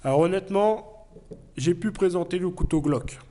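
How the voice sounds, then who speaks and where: A man's voice: sits high, normal speed, warm tone. A man who has a cold, reviewing a knife in a plain, earnest way with frequent hesitations. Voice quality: hesitant, many fillers. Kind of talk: casual product review.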